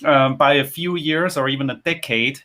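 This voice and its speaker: A man's voice: mid-range voice, moderate pace, bright, forceful tone. A man co-hosting a podcast, making his point thoughtfully with a few restarts. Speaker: co-host. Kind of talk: casual podcast chat.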